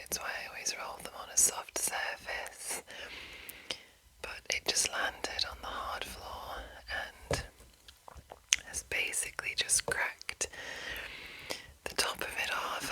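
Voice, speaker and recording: A woman whispering in a breathy, unvoiced voice as an ASMR host, with careful, gentle enunciation. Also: slow pace, rough recording. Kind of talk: whispered ASMR, reading and chatting.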